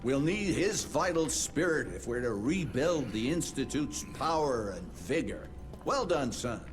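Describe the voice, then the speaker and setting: An actor playing an older, fatherly institute leader who speaks in a measured way in a video game, over loud music. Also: mid-range voice, sing-song. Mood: pleased. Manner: measured, slow, grows intense. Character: old male institute patriarch, fatherly and haunted.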